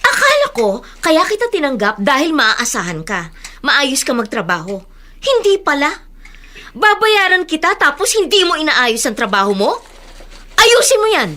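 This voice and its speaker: A high-pitched, sharp Filipino woman boss in a radio drama. She is stern, imperious and short-tempered, and her voice swings widely. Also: wildly swooping pitch, moderate pace, heavily acted. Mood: angry, scolding. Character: short-tempered, bossy woman employer scolding her gardener.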